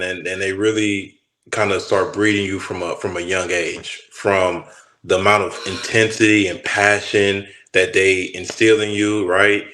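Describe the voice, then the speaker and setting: Deep-voiced texas guy, ex football player, chatting loose and rambly in a roomy echoey space. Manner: relaxed, rambling with restarts. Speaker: former pro football player, guest. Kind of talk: casual interview talk.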